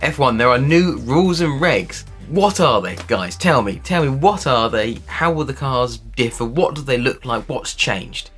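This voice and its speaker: A man's voice: medium pitch, expressive, measured pace, few pauses, fairly bright tone, soft background music. Upbeat man hosting, quizzing guests. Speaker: podcast host. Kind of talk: casual podcast hosting. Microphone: video call.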